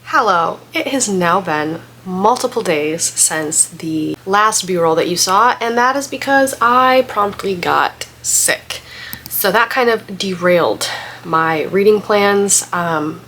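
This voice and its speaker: A woman's voice: medium pitch, lively intonation, slow, a bit bright, slight background noise. A woman vlogger getting over a head cold and still congested, chatting casually in a rambling way with lots of filler words. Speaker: book vlogger. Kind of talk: casual vlog chat.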